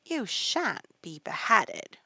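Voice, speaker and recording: A woman's voice: mid-range voice, big pitch swings, slow, gravelly, pushed and bright, breathy edge, no room sound, highs cut off, voice pushed up, heavily acted. A woman voicing a polite but bold, self-possessed little girl in a storybook. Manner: polite, decided.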